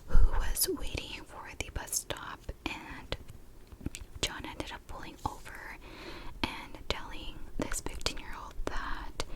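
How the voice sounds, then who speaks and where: A woman telling a true crime story in a soft, breathy ASMR whisper, casually and gently, in short halting phrases. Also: poor audio.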